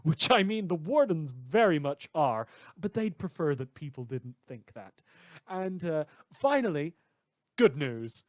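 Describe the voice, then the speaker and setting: Male actor as fictional radio host, chirpy, wry, theatrical, slightly high voice, dry sound. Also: very animated, a little slow, no room sound. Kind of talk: scripted fictional call-in radio broadcast.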